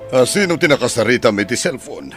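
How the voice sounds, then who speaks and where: A man's voice: mid-range voice, big pitch swings, quick pace, fairly bright tone, faint music behind. A man acting in an audio drama as a stern, commanding grandfather-to-be. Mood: stern, disapproving.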